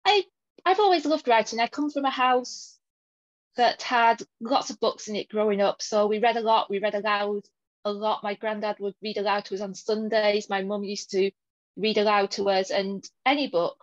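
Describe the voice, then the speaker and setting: A woman's voice: mid-range voice, no echo, dull audio. A woman from Lancashire with a mild Lancashire accent, answering interview questions as a guest author in an articulate, reflective way. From Lancashire, England.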